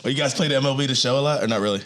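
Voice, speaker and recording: A man co-hosting a podcast, raspy from a hangover and joking around in slangy banter. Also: medium pitch, rapid-fire, very noisy. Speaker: podcast co-host.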